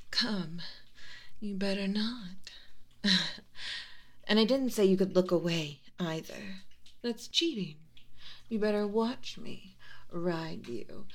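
A young woman voicing a teasing, commanding female spirit with a tail who keeps a library, speaking in a soft whisper with low laughs. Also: heavily acted. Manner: mocking, playful, commanding. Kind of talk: whispered audio roleplay.

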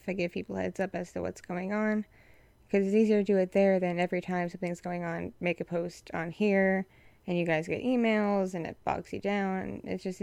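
Froggy-voiced woman with a creaky, dry sound. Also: on the low side, darkish tone, no echo. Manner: rambling, frank, many fillers. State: hoarse, froggy from a respiratory infection. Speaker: content creator addressing patrons. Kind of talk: casual update to supporters.

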